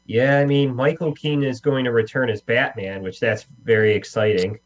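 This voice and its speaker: A man's voice: mid-range voice, fairly even intonation, moderate pace. A man, a guest, chatting casually. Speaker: guest actor. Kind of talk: casual chat. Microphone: video call. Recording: dull internet call with hum.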